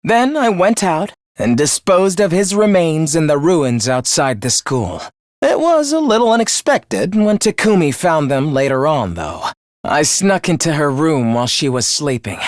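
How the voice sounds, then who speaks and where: A man's voice: a little high, sing-song, measured pace, no echo, studio quality. A man playing a young student in a video game, earnest on the surface and a cold, misanthropic killer beneath, theatrical and articulate. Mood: cold, calm confession. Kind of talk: dramatic anime-style video game voice acting.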